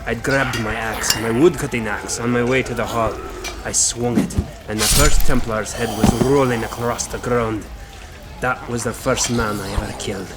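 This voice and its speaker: A man's voice: medium pitch, fairly even intonation, moderate pace, loud music behind the voice, close to an everyday voice. An actor playing a grim man who recounts his violent boyhood in a solemn, bitter manner for an audio drama. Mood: grim intensity. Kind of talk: first-person dramatic storytelling in an audio drama.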